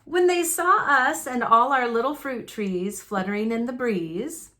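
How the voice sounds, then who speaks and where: A woman reading a children's picture book aloud in an animated, folksy storytelling manner. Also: on the high side, unhurried. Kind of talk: expressive read-aloud narration of a children's book.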